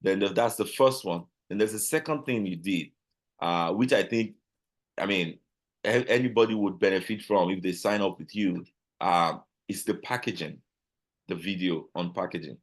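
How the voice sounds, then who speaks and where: A man with a Nigerian accent, a guest talking casually in an interview, animated and full of restarts and repeated words. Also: deep voice, moderate pace. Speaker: guest, business owner.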